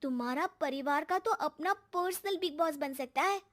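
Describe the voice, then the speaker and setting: A woman voicing a dutiful but cheeky, easily astonished young daughter-in-law in a cartoon story, expressive and exclaiming. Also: high-pitched, moderate pace, voice pushed up.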